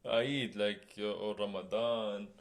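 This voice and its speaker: A middle-aged man from Tunisia, co-hosting a podcast in halting full of fillers. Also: on the low side, fairly even intonation. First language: Tunisian Arabic. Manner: halting non-native English with fillers and laughs. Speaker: podcast co-host. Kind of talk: casual chat.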